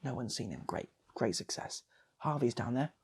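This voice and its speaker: A man's voice: medium pitch, expressive, moderate pace, a little mellow, husky. A British man improvising a comic story, rambling and repeating phrases for effect as he goes. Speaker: channel host and storyteller.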